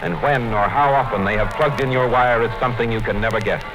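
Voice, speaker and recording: A man narrating a radio play in a measured, formal way. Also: mid-range voice, poor audio. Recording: old radio broadcast with music under it.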